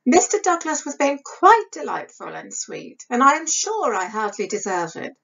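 A woman's voice: high-pitched, big pitch swings, moderate pace, vocal fry, a bit bright, voice pushed up, exaggerated voice. A woman voicing a good-natured mature actress and wife, fondly chiding in period speech for an audiobook. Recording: dull lo-fi webcam sound.

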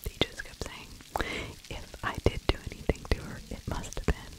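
A middle-aged woman whispering breathily and close to the microphone, telling a true crime story in an ASMR style with halting pauses. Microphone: large microphone with furry windscreen, very close.

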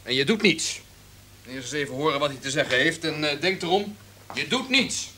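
An actor playing a flustered, indecisive radio executive in a Dutch radio drama, agitated, hesitant and repeating himself. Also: male voice, fairly high pitch, animated, moderate pace, bright, forceful tone, slight background noise. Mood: hesitant, uneasy.

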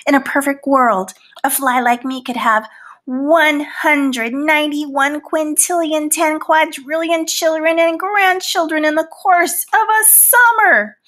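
Storybook housefly, acted by a woman: indignant, easily hurt, boastful, theatrical; slow, forceful bright delivery. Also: high voice, fairly even intonation, pitched above the performer's own voice. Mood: proud, explaining. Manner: theatrical, pleading, mock-wounded and boastful. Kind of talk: storybook read-aloud in character.

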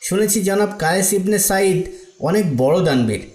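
A man's voice: high voice, lively intonation, normal speed, a little mellow. A man voicing a needy, earnest traveller in an Islamic moral story cartoon, speaking persuasively. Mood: humble, pleading. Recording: echoey, reverberant room sound.

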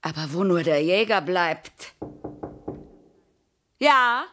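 Evil stepmother queen, haughty and commanding, deliberate, forceful tone, radio play. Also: on the low side, animated, a little hiss or hum. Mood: haughty, indignant. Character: imperious, scornful wicked stepmother queen. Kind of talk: German radio drama acting.

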